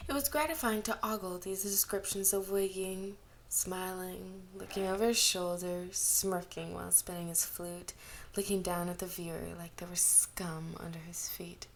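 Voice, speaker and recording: A woman's voice: on the low side, steady tone, normal speed, a little hiss or hum. A woman giving a scripted story narration as an amateur fiction reader.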